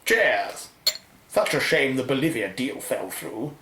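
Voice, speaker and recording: A man's voice: mid-range voice, big pitch swings, slow pace, pushed and bright, heavily acted. A man playing a smug, callous, wealthy magnate in a radio drama, with a British accent and pompous delivery. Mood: self-satisfied. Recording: echoey room with faint ambient noise.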